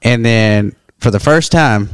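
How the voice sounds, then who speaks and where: A young man chats casually on a podcast and drifts through his stories with plenty of "like" fillers. His voice is smooth and clear. It is recorded close and dry. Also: medium pitch, slow, no room sound. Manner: rambling storyteller, lots of "like" fillers. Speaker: podcast co-host. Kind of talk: casual chat.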